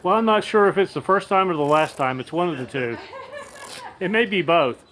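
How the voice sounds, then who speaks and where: Older man, fairly high voice, dryly wry. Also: expressive, a little fast. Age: 60s. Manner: brief, dry, wry remarks. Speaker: father helping as camera operator. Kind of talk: casual chat. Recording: noisy on-location audio from behind camera.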